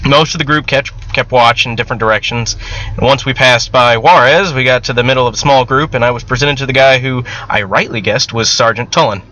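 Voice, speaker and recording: A man narrates first-person fiction in an informal, conversational manner. His voice sits at a middle pitch and has a bright, forceful edge, like an author telling his own story. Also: brisk, rough recording. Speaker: author reading own novel excerpt.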